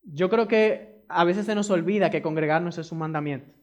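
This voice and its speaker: A man's voice: high voice, normal speed. A man from the Republic, preaching a sermon and pressing his points by repeating them straight to the congregation. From Dominican Republic. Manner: emphatic, repeats key phrases; addresses the congregation directly.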